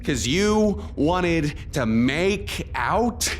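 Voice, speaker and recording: A woman's voice: lowish voice, animated, extremely slow, slight background noise. A woman playing a sarcastic, incredulous young opera soprano in an audio comedy sketch. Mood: hurt, incredulous.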